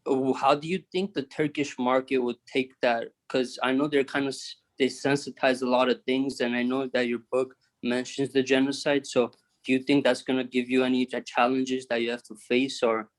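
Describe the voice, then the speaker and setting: Male student asking a question, polite, monotone, mid pitch. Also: moderate pace, few pauses. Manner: polite, slightly hesitant.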